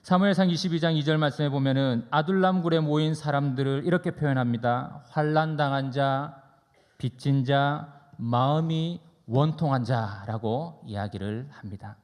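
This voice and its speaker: Gravelly male pastor in an echoing hall. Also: a little high, moderate pace. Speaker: pastor preaching. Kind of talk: sermon with conversational anecdotes. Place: church sanctuary during a service. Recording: reverberant hall, long echo.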